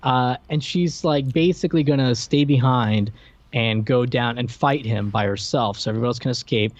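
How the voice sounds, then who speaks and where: A man's voice: mid-range voice, moderate pace, dry recording. A man co-hosting a podcast, casually recapping a movie in a conversational retelling style. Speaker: podcast co-host. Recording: dry, slightly dull audio.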